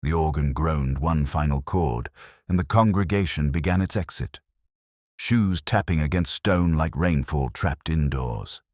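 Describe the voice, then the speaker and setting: A man narrating a first-person detective story in a solemn, measured, literary manner with a husky voice. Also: extremely low pitch, darkish tone, dry recording, studio quality.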